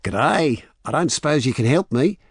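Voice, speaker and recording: A male narrator acts out a trickster posing as an Australian tourist in a story. He is breezy and friendly with a strong Australian accent, a lifted pitch and lively swings. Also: moderate pace, slightly rough, no room sound, clean studio sound.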